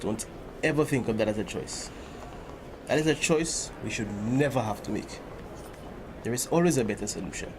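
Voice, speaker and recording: A man acts out a kindly priest in a drama, with a mid-pitched voice. He talks in a gentle, fatherly, reassuring manner. Clear background ambience runs through the location recording. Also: normal speed, pauses often, natural voice. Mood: gently pleading. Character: kindly, older-generation priest gently counselling a parishioner. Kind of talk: dramatic acted dialogue. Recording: noisy location sound with steady ambience.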